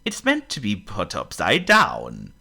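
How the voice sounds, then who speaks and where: A man's voice: fairly low pitch, wildly swooping pitch, slow pace, gravelly, exaggerated voice. A man doing a genial jolly party host. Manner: gentle, explaining. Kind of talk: storybook voice acting.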